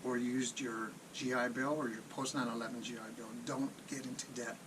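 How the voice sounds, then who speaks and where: A man's voice: mid-range voice, little pitch movement, moderate pace. A man giving casual, off-the-cuff commentary as a video host, rephrasing as he goes and posing rhetorical questions with plain advice. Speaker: personal finance video host. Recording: distant mic, clearly noisy background.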